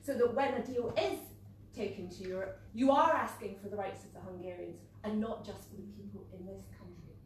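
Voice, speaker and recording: Scottish woman in the audience, halting question, very echoey and distant. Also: medium pitch. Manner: hesitant, searching for words. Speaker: audience member. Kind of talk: question from the floor. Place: meeting room at a conference fringe event. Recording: very echoey room, distant mic, faint noise.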